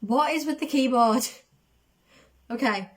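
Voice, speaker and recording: A woman chatting casually as the host of a reaction video, excitable, her voice going a little from a cold. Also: sits high, a little slow. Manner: excitable, self-described overdramatic. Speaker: host of a music reaction channel.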